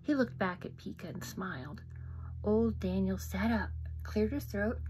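Middle-aged woman, author reading her picture book to kids, unhurried and hushed, faint background noise. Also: fairly high pitch, gravelly, low-quality recording. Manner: soft, often whispered, expressive asides to children. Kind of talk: interactive children's story reading. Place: home room, indoors. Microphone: phone camera close up.

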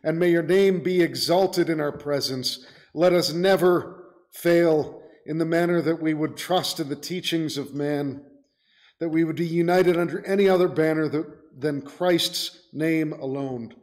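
High-pitched middle-aged male preacher. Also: fairly even intonation, measured pace, darkish tone. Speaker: pastor preaching a sermon. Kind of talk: sermon and closing prayer. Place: small church room, indoors.

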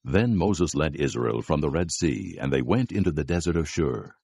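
A man narrating scripture from a script, in a measured storytelling manner. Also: very deep voice, sing-song, vocal fry, warm tone, breathy edge.

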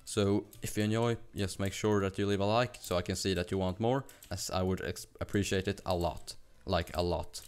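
A young man from Sweden with a Swedish accent, chatting fast and rambling with laughs while narrating his gameplay. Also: deep voice, fairly even intonation, clean tone. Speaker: gaming YouTuber. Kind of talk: casual gameplay commentary.

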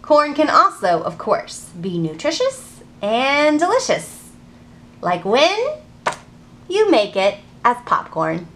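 A woman reads and teaches for young children as a storytime host. Her voice sits fairly high and swings widely in pitch. She takes her time, phrasing things in a deliberate sing-song. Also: big pitch swings, a bit bright, slight background noise. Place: public library children's section.

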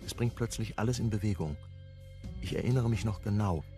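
A man acts as the narrator of a crime radio play. He tells the story reflectively in a fairly low voice over soft music. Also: fairly quick, lightly acted. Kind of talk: scripted radio drama narration.

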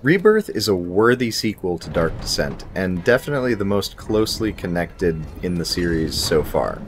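A man's voice: deep voice, big pitch swings, moderate pace, noisy background. A young man presenting a scripted video game review in an articulate, presentational way. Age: young adult. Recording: music behind the voice. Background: background music.

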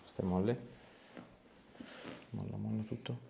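Guy in his 30s, chiropractor, lowish gravelly voice, short little instructions to a patient. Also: fairly even intonation, slow pace, mellow, slight background noise. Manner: short directive phrases and questions. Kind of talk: calm hands-on clinical instructions to a patient. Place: small treatment room, indoors.

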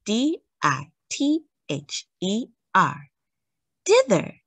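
Woman playing storybook schoolgirl, earnest, courteous, careful emphatic enunciation, lifted swooping pitch, slightly husky. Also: wildly swooping pitch, extremely slow, a bit bright, no echo, heavily acted. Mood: careful, eager. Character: young schoolgirl spelling contestant, earnest and courteous.

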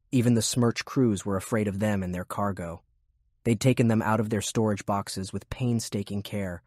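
A man with a husky voice reading scripted story narration for an audiobook. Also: on the low side, darkish tone, no echo, clean studio sound.

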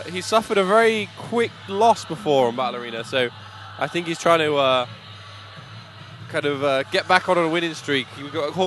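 A British man giving live MMA commentary, excitable, reacting in quick bursts of praise. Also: a little high, slight background noise. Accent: British English.

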